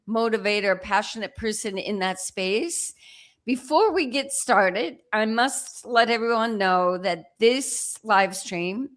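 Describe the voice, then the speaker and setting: A woman's voice: medium pitch, fairly bright tone. Midwest lady w a bit of a dutch accent hosting a livestream, takes her time, sounds upbeat. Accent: Midwestern US with a slight Dutch accent. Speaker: host. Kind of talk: livestream interview.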